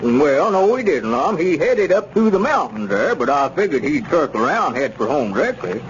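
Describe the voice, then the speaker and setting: Slippery rural squire, folksy bluster, very animated delivery, muffled old radio sound. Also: male voice, on the high side, normal speed. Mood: hedging, explaining. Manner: blustering, protesting innocence in folksy phrasing. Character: evasive small-town squire peddling dubious mining stock. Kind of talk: 1930s radio comedy serial acting. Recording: muffled, noisy 1930s radio recording.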